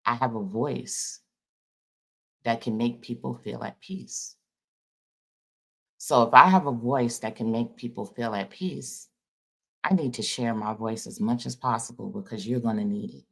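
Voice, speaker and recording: A man's voice: mid-range voice, measured pace, vocal fry, long pauses, breathy edge. A man giving a loose, rambling spiritual talk to his viewers in an informal, folksy way. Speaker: spiritual vlogger. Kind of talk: casual spiritual monologue.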